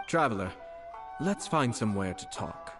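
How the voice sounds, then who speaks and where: A composed, courteous nobleman from an anime game. His voice is on the low side, formal and measured. It sounds slightly distant, with faint music underneath. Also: lively intonation. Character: composed, courteous young nobleman, head of a commission. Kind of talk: anime game dialogue acting.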